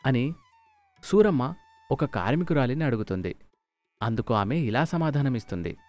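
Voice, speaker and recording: Lively male story narrator over music. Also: medium pitch, moderate pace, dry recording. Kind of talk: scripted moral story narration with acted dialogue. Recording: music behind the voice.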